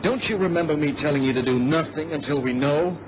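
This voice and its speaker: A man playing a calm, authoritative airline captain in a radio drama. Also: on the high side, a little fast, lightly acted. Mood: casual, wary. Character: steady, level-headed male airline captain. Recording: noisy, dull vintage radio recording.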